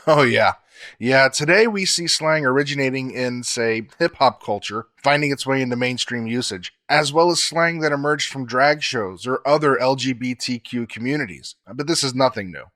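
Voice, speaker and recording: A man co-hosting a podcast, explaining facts in a measured, scripted way. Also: medium pitch, pushed and bright.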